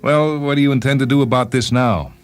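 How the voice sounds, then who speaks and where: A man's voice: mid-range voice, steady tone, fairly quick, pitched above the performer's own voice, natural voice. A man voicing a bitter, nagging wife who scolds wearily in a dramatised short-story reading. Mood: demanding. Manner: scolding, weary.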